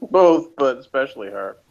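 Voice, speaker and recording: A man's voice: mid-range voice, big pitch swings, measured pace, darkish tone. A man in his thirties chatting casually as a reviewer, with a dry, sarcastic way of talking. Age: 30s. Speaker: podcast co-host, reviewer. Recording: muffled lo-fi line with noise.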